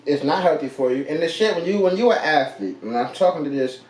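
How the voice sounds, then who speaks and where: A young man in his late twenties with a voice pitched a bit high, co-hosting a casual podcast. He talks loud and animated, joking in slang. He is recorded in an echoey room. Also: quick pace. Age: 20s. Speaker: podcast co-host. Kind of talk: casual chat.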